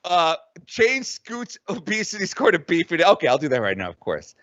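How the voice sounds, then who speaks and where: A man running an improvised comic tabletop game, excitable and crude, on slightly dull-sounding audio. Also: high voice, moderate pace, no room sound. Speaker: game master.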